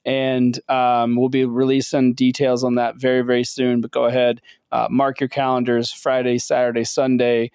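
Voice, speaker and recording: A man's voice: mid-range voice, monotone, moderate pace, a little mellow, clear, resonant tone, no echo. A man co-hosting a business leadership podcast, talking casually and upbeat with lots of fillers. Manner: upbeat, filler-heavy promoting. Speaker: podcast co-host.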